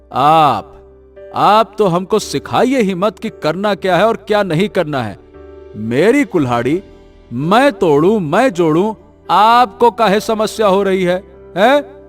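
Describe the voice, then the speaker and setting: A man playing a proud, indignant poor woodcutter, defiant and scolding, in an animated moral story. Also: high-pitched.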